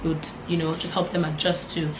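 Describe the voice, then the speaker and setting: A young woman from Nigeria talks in a slightly low, hoarse voice that sounds worn out. She chats casually and keeps the conversation moving. Faint room noise sits clearly under her voice. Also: flat delivery, fairly quick, echoey room. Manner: chatty, laughing, leads the talk. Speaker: co-host. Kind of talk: casual sit-down chat.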